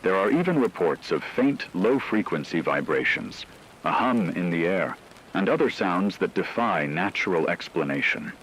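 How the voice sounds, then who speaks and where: A man playing a serious, measured news anchor in a scripted emergency radio broadcast drama. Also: low-pitched, lively intonation, a bit bright, slight background noise, rough recording. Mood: grave, ominous. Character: grave male radio news anchor reporting a supernatural fog crisis.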